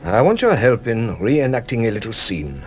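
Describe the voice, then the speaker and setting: An actor playing a courtly, shrewd French police detective in a radio drama, probing and ceremonious with a teasing, theatrical manner. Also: male voice, medium pitch, big pitch swings, moderate pace, soft background music, close to an everyday voice. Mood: brisk, playful. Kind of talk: British radio drama acting.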